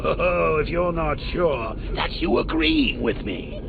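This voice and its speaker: A man's voice: on the high side, huge pitch swings, slow pace, full character voice. An actor voicing a manic male clown villain in a video game, taunting in a theatrical, mocking way with sudden cackles. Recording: tinny lo-fi capture with clear hiss.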